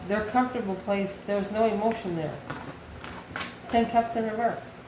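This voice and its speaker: A woman's voice: mid-range voice, normal speed. A woman from the United States giving a casual, off-the-cuff tarot reading, musing aloud and answering her own questions. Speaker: tarot card reader. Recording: echoey room, clear background noise.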